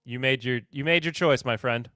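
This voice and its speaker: A man's voice: medium pitch, normal speed, bright, forceful tone, no echo, clean studio sound. A man from Kentucky hosting a live podcast in casual chat, laid-back and chuckling as he reads and answers viewer comments. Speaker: podcast host.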